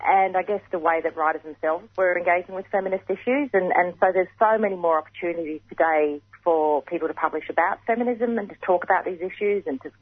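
Woman with a gravelly morning voice on the phone. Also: fairly low pitch, fast talker, hardly any pauses, no echo, phone-quality sound. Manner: thoughtful, repeats words while thinking. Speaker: guest writer. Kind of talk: radio interview.

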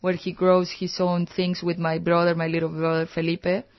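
A soft-spoken woman in her thirties with a Chilean Spanish accent talks reflectively as a guest on a radio chat. Her mid-pitched voice has a gravelly fry, and the sound is a bit dull and lo-fi. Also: completely flat delivery, moderate pace.

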